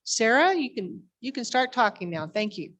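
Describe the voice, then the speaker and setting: A woman's voice: a little high, sing-song, moderate pace, a bit bright. A woman speaking informally to a meeting audience as she introduces a guest.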